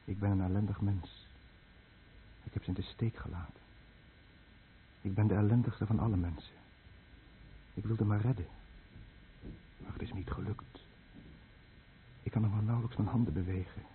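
A man's voice: on the low side, fairly even intonation, normal speed, long pauses, natural voice. An actor playing a dying, guilt-ridden fisherman who tells of his lost village in a brooding, bitter radio drama monologue. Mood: weary despair. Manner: brooding, bitter, confessional monologue addressed to the listener. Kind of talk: Dutch-language radio drama narration. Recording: muffled narrow-band old radio recording, faint noise.

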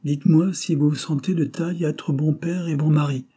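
Storybook doctor, middle-aged man, voiced by a male narrator: bossy yet kindly, blunt questioner, husky tone. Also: a little high, steady tone, a little fast, warm tone, voice pushed up. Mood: impatient, insistent. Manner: curt, commanding, firing questions.

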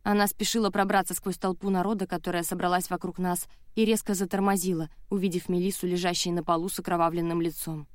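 A woman's voice: fairly low pitch, level tone, fast talker, hardly any pauses, no room sound. A woman audiobook narrator reading a dramatic first-person novel, close on the mic in a studio.

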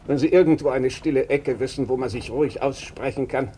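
An actor playing a blunt, shrewd doctor with a deliberate, sardonic, probing manner in a German radio drama. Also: male voice, medium pitch, dark tone, a little hiss or hum, lightly acted. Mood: frank, insistent. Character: blunt, shrewd, bespectacled male country doctor.

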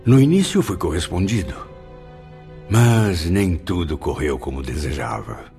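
Grave spirit narrator, low voice, expressive swings, theatrical storytelling, radio drama, music underneath. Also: male voice, a little slow, full character voice. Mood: fervent, wounded. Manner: solemn, theatrical first-person storytelling. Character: male spirit recalling his past life with grave passion.

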